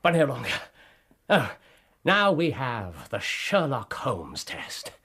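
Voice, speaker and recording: An actor playing a brilliant, exuberant Victorian English scientist detective in a British radio drama. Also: male voice, medium pitch, wildly swooping pitch, takes it slowly, sharp tone, breathy edge, full character voice. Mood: eager. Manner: exuberant, theatrical, eager.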